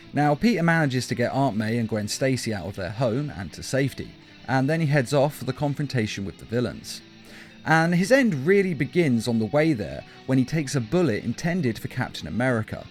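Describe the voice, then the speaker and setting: A man reading a scripted countdown as an emphatic, opinionated fan commentator. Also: mid-range voice. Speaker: video list narrator. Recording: music behind the voice. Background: guitar backing music.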